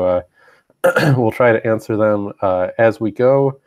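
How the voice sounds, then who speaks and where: A low-voiced man, a bit stuffy from a cold, walks viewers through a software training over a live stream. He hesitates often, clears his throat and drops self-deprecating asides. The sound is dull and dry, like a home webinar mic in a small room. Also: no echo. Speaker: university web team presenter.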